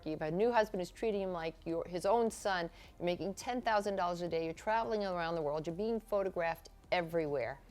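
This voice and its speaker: A woman hosting a casual interview, chatty and prompting, often finishing her guest's sentences. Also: on the low side. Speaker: host.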